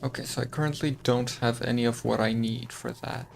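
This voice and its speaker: A man streams a game into a desk microphone, thinking aloud and chatting with his viewers. His voice is mid-pitched with a gravelly, fry-laden edge. A faint hiss sits under it. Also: fairly even intonation, fairly quick, darkish tone. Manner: chatty, thinks aloud and reads notes to chat. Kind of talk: casual gameplay commentary.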